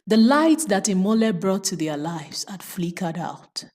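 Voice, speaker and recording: A woman's voice: medium pitch, big pitch swings, a little slow, a little mellow. A woman telling a folktale as host, emphatic and moralizing, repeating phrases for dramatic effect. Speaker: storyteller and host.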